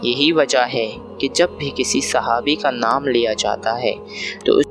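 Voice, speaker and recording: Man reading religious script, really slow and formal, faint music behind. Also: medium pitch. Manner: formal, measured. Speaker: religious narrator. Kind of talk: scripted Islamic religious narration.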